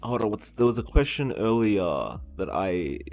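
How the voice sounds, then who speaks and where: A man's voice: fairly low pitch, big pitch swings, normal speed, vocal fry, darkish tone, soft background music. A man with an Australian accent, an esports caster, chatting casually on a podcast, blunt and swearing often, with frequent restarts.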